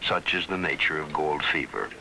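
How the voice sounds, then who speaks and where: A man's voice: rumbling low, normal speed, creaky, low-quality recording. A man reading scripted documentary narration, recorded on an old film soundtrack. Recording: vintage 16mm film soundtrack. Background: music.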